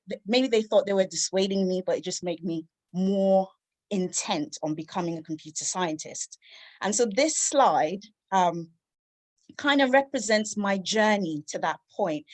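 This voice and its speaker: A woman's voice: mid-range voice, darkish tone. Upbeat woman giving a talk. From London. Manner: upbeat and candid, frequent "um" fillers. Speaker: lightning talk speaker, computer science teacher. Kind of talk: personal motivational talk. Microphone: video call.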